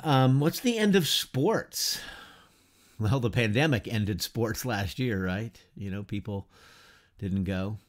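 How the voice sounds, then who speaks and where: Middle-aged guy teaching a church class from his study, slow and chatty, lots of "you know" and "right?". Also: fairly low pitch, lively intonation. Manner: unhurried and conversational, many fillers and rhetorical questions. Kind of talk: informal lecture to an online class. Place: home study lined with bookshelves, whiteboard beside him.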